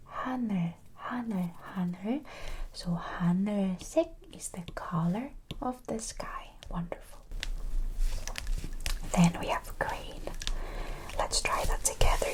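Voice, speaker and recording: A woman teaching a language in a breathy ASMR whisper, encouraging and repeating words syllable by syllable. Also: on the low side.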